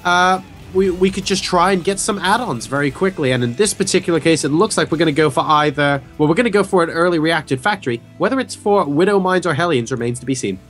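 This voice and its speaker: A man's voice: a little high, animated, a bit bright, soft background music. A man casting a video game match, explaining builds in detail and trading light jokes with his co-caster. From Hong Kong. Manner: analytical, joking, quick banter. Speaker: esports caster. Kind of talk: esports play-by-play commentary.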